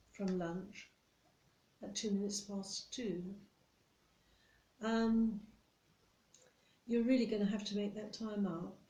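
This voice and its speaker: A woman plays a nitpicking British office boss in an ASMR roleplay. She is stern and condescending, spelling out the rules slowly and deliberately in a relaxed, mellow voice. The room adds a noticeable echo. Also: medium pitch, natural voice. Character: strict, nitpicking female office boss telling off a new employee. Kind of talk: ASMR roleplay monologue addressed to the listener. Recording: roomy echo.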